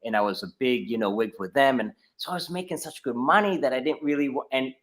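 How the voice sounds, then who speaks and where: A man's voice: mid-range voice, quick pace, fairly bright tone. A middle-aged man from New England with a Boston accent, telling long stories as a podcast guest, rambling with many restarts. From New England, United States. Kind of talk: casual podcast chat.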